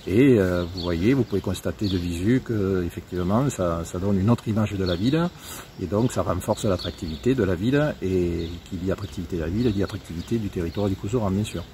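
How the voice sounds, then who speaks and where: A man speaking as a local elected official in an on-site interview, explaining in a semi-formal, halting way. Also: deep voice. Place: outdoors in a town park. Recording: clearly noisy outdoor ambience.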